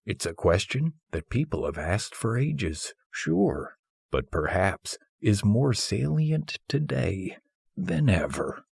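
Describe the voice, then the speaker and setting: A man reading a humorous philosophical essay as scripted audiobook narration, with husky, measured, deliberate phrasing. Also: fairly low pitch, very animated, darkish tone, dry recording.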